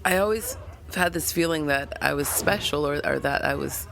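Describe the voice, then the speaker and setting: A woman's voice: low-pitched, gravelly, fairly bright tone, slight background noise. A woman role-playing a vain sci-fi doctor, now humbled and confused, speaking hesitantly in a tabletop game. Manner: hesitant, halting. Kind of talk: improvised tabletop role-play.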